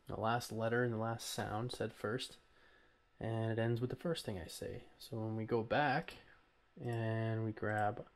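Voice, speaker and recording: A man hosting an informal audio tutorial, talking through each step in a casual, somewhat hesitant way. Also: lowish voice. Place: voice-over recorded while working at a computer.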